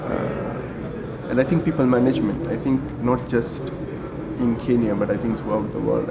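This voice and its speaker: A middle-aged man from India, a hotel group general manager, answering interview questions in an unhurried way with many fillers. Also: mid-range voice, very noisy. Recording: echoey room with loud ambience.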